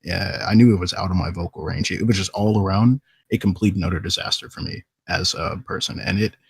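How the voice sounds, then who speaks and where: Very husky, gravelly man. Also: low-pitched, fairly even intonation, a little fast, no room sound. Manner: candid, self-deprecating. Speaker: voice actor guest. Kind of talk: casual storytelling.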